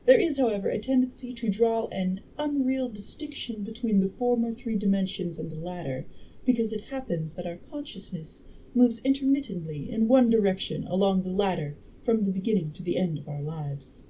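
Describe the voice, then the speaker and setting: Woman acting clever, emphatic lady time traveler, mellow. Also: medium pitch, expressive, normal speed, a little hiss or hum, voice pushed up, natural voice, rough recording. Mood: earnest lecturing. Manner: emphatic, precise, didactic. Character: clever, assured female time traveler, lecturing patiently. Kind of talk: acted reading of a classic novel.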